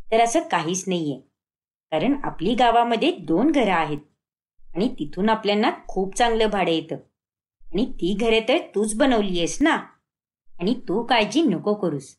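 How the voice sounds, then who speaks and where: A woman voices an old village grandmother in a cartoon moral story. She is plain-spoken and supportive, with a motherly manner and expressive pitch. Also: close to an everyday voice.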